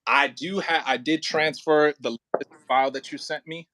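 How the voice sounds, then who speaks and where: A man working as an audio play director and acting coach, talking casually and informally, with halting pauses and emphatic repetition. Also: on the high side, steady tone, pushed and bright, a little hiss or hum.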